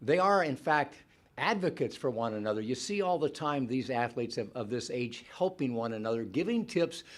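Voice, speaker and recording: A man hosting a show, presenting in a measured commentary style. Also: medium pitch, animated. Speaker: show host. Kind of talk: studio presenting.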